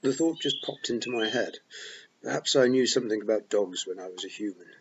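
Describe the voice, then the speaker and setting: A man's voice: lowish voice, brisk, husky, highs cut off. A man voicing a dry, pompous male talking toad in an audiobook. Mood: musing. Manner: dry, legalistic, fussy.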